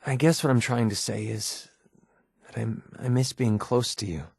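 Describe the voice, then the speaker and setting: A man playing a young, wisecracking, cynical mercenary with a soft side in a video game, speaking in a wry, colloquial way. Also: mid-range voice, fairly even intonation, moderate pace, gravelly, husky, no echo, clean studio sound. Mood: apologetic, earnest. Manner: wry, sarcastic, colloquial delivery. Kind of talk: scripted video game companion dialogue.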